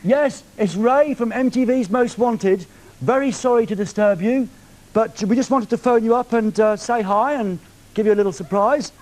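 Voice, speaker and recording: A man's voice: high voice, moderate pace, darkish tone, low-quality recording. A man hosting a live TV show, teasing a caller on the phone with cheeky questions. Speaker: TV presenter. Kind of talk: live TV prank phone call. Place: TV studio.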